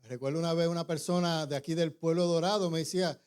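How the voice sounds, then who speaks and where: Pastor, conversational, higher-pitched. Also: male voice, fairly even intonation, fast talker, a little mellow, clean tone. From born in New York, raised in Puerto Rico. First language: English. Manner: informal, many restarts and tag questions. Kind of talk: conversational sermon.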